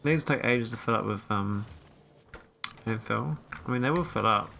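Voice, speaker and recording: A man's voice: on the low side, moderate pace, vocal fry, sharp tone, slight background noise. A man casually commentating his own gameplay, thinking aloud and rambling with fillers into a desk microphone. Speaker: gameplay streamer. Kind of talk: casual let's-play commentary.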